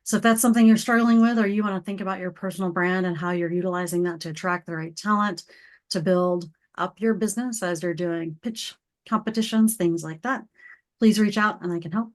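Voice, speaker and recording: A woman's voice: mid-range voice, fairly quick. A woman hosting a weekly online business meeting, upbeat and organized as she explains how it runs. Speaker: meeting host. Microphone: video call.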